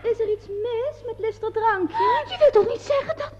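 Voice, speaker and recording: A woman playing a sharp-witted veteran stage actress in a radio play, pert and insistent. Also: very high-pitched, moderate pace. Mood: suspicious. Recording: noisy, dull old radio play recording.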